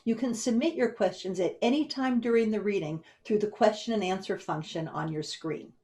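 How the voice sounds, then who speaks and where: A woman speaks as an event host and director, giving a formal, prepared welcome in a measured way at an online webinar. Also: mid-range voice, dark tone. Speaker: humanities center director introducing an event.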